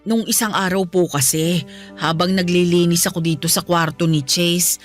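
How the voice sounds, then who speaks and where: A woman's voice: on the low side, fairly even intonation, normal speed, faint music behind, natural voice. A woman playing a deferential, chatty housekeeper in a Filipino radio drama, confiding in a respectful way. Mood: hesitant, confiding. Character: deferential, tattling female housekeeper, soothing and chatty.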